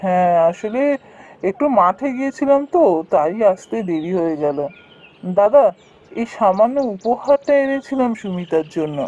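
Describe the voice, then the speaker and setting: A man's voice: high voice, animated, moderate pace, darkish tone, muffled recording. A man voicing a poor, humble younger village brother in a cartoon, weary and resigned in manner. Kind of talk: cartoon voice acting.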